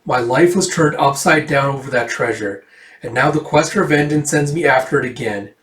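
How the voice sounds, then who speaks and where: A man's voice: medium pitch, moderate pace, a bit bright, roomy sound, far from the mic, natural voice. Grandiose, scheming male villain acted by a man. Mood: bitter. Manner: grandiose, theatrical, condescending. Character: vengeful, scheming, self-proclaimed wicked man, boastful villain.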